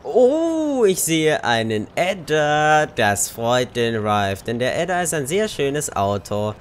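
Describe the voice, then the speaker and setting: Austrian man, young, casual let's play chatter, lively pitch, game car noise behind. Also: slow. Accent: Austrian German. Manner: chatty, cursing at other drivers. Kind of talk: casual gameplay commentary.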